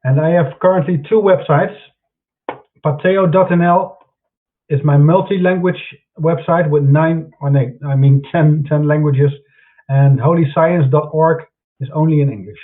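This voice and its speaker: A man's voice: fairly high pitch, unhurried. A man with a Dutch accent presenting his websites and books in an online broadcast, speaking casually with many fillers and restarts. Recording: phone-like narrow sound.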